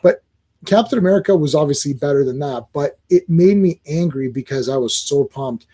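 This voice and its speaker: A man co-hosting a casual podcast, talking in an animated, rambling way with many restarts. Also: on the high side, fairly quick, breathy edge. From Croatian family, born locally. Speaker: podcast co-host. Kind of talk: casual chat.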